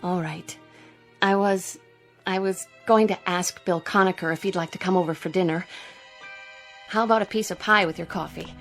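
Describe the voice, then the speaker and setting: An actress playing an astronaut's concerned American wife in a radio drama, gentle and reassuring in her manner. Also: lowish voice, fairly even intonation, moderate pace, faint music behind, close to an everyday voice. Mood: gently placating.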